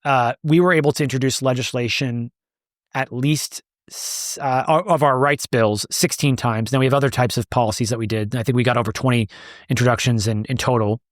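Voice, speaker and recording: A man's voice: mid-range voice, steady tone, a little fast, no room sound, studio quality. A man answering interview questions as the founder of an advocacy group, speaking emphatically and repeating words as he builds a point.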